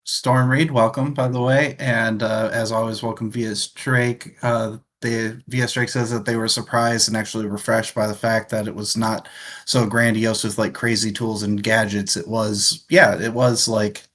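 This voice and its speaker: A man's voice: on the low side, flat delivery, moderate pace, a bit bright, a little hiss or hum. A man hosting a casual livestream book discussion, searching for words as he goes. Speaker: show host, reads viewer comments.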